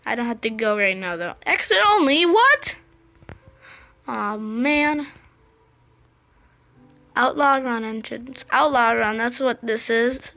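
Excitable young girl, slightly scratchy voice. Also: on the high side, slow, gravelly, pauses often, soft background music. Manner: excited, chatty, impatient exclamations. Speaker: gameplay video host. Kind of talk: casual gameplay commentary.

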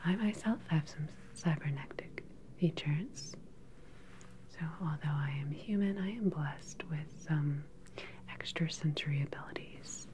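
A sinister female sci-fi doctor , acted by a woman, speaks in soft, breathy whispers for an ASMR roleplay. Her pitch is low and her delivery unhurried, with a calm, clinical control meant to soothe. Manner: slow, deliberate, soothing, clinical. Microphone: close ASMR microphone.

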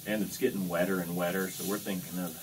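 A man's voice: low-pitched, flat delivery, fast. An American man in his thirties chatting casually, with an easygoing, wry manner. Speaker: vlogger. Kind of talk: casual chat. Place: small wooden log hut. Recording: noisy camera audio, sizzling snow-melt noise.

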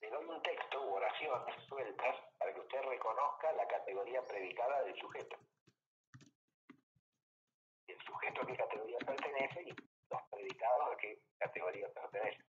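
A man with a Rioplatense Spanish accent, patiently teaching logic over a call and checking understanding with quick tag questions. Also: medium pitch, lively intonation, roomy sound, lo-fi sound, a little hiss or hum. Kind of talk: tutoring lesson over a call.

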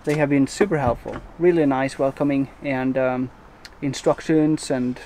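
A man in his forties vlogging casually to a handheld camera outdoors and in a van, relaxed and rambling with many fillers and restarts. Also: medium pitch, moderate pace, a little hiss or hum, poor audio. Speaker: travel vlogger. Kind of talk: casual vlog narration to camera. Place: outdoors in a parking lot and inside a van. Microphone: handheld camera at arm's length.

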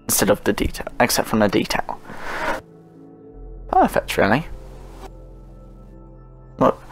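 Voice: mid-range voice, vocal fry. A gaming host giving casual, rambling commentary while playing, often murmuring short repeated phrases.

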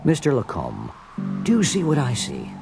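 An actor playing an amazed expedition assistant in an audio drama. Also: male voice, medium pitch, huge pitch swings, moderate pace, vocal fry, loud music behind the voice.

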